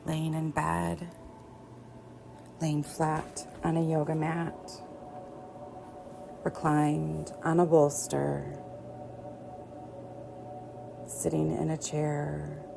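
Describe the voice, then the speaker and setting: Woman, meditation teacher, unhurried, creaky low-edged voice, soothing, guided relaxation. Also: completely flat delivery, background noise. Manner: soothing, gentle, unhurried with long pauses. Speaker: yoga nidra teacher. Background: soft background music.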